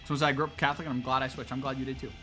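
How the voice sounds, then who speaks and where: A man's voice: medium pitch, rapid-fire, soft background music. Casual male streamer answering questions. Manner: relaxed, conversational, explains at length with many "um"s. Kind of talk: casual livestream Q&A.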